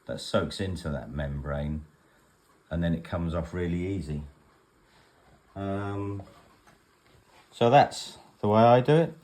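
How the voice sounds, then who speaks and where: A man's voice: sits low, animated, takes it slowly, pauses often, a little mellow. A man giving a casual home demonstration in a rambling, matter-of-fact way, like a vlogger in his kitchen.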